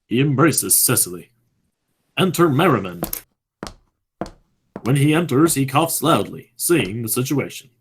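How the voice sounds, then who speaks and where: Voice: medium pitch, sing-song, unhurried, pauses often, slight background noise. A narrator reading scripted stage directions for a radio play.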